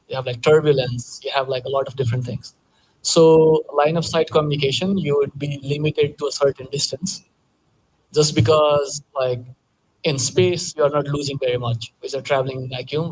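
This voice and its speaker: A man's voice: medium pitch, low-quality recording. Casual young man explaining. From Nepal. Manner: casual explaining, many "like" fillers, chuckles. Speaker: quantum communications engineer, guest speaker. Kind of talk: panel Q&A answers.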